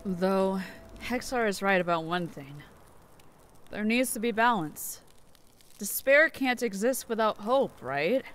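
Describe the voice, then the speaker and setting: A woman's voice: mid-range voice, lively intonation, slow, a bit bright, slight background noise. A woman voicing an emotional young queen who pleads and hopes, in a fan audio drama. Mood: thoughtful.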